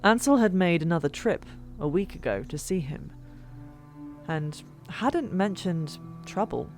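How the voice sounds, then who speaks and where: A woman reading a fantasy novel as an audiobook narrator, expressive and dramatic, with hushed asides and pauses. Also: lowish voice. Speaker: narrator of a fan-made audiobook. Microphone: old microphone. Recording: music bed, older microphone. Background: background music.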